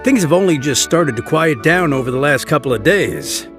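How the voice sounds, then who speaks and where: A man's voice: a little high, lively intonation, moderate pace, a bit bright. An actor voicing a polite, dutiful older male butler in a game cutscene, formal and courteous and coughing now and then. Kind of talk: video game cutscene dialogue. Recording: game audio with background music.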